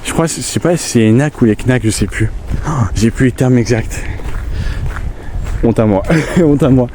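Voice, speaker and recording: A man's voice: medium pitch, normal speed, a little hiss or hum. Man with a stuffy nose, chatting outdoors. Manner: chatty, relaxed, rambling asides. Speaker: vlogger on a nature walk. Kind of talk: casual walking vlog to camera. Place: outdoors in the countryside.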